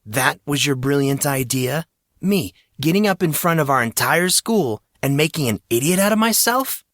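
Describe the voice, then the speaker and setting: A man's voice: on the high side, lively intonation, moderate pace, a bit bright, no echo, clean studio sound, voice pushed up. A man voicing a flustered, defensive teenage schoolboy in an audiobook story, speaking in indignant casual slang.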